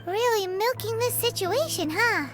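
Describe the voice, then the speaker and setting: Game sidekick: tiny floating fairy girl, extremely high, animated, chirpy and blunt, music behind. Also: a little slow. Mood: teasing. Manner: refers to itself in third person, exclamatory. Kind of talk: video game character acting.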